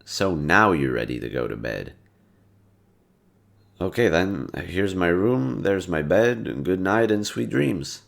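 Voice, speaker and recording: A man's voice: low-pitched, lively intonation, slow, vocal fry, full character voice. A man playing a kind, teasing, easily flustered young guy in a roleplay audio, talking casually and playfully straight to the listener. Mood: caring, gentle. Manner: playful, casual and slangy, with muttered asides.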